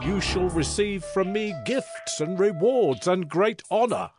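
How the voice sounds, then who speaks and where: An actor playing a stern, imperious king of ancient Babylon in a dramatized Bible recording, declaiming deliberately. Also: high-pitched, lively intonation, over music. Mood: threatening, commanding. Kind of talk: dramatized Bible audio acting.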